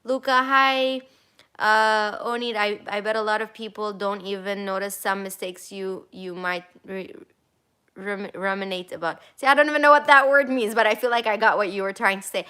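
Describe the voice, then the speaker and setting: Bright, chatty woman reading live chat. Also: medium pitch, steady tone, moderate pace, clear, resonant tone. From Armenia. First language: Armenian. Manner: chatty, reads comments aloud, many fillers and restarts. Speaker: travel vlogger answering live chat. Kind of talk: casual live stream Q&A. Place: home in Toronto.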